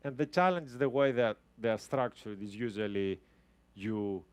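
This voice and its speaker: Man, 30s, lowish voice, casual live tech talk, keeps restarting sentences. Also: normal speed, clean tone, no room sound. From Greece. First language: Greek. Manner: casual, explanatory, with frequent restarts. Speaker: guest speaker. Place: talk venue with a live audience.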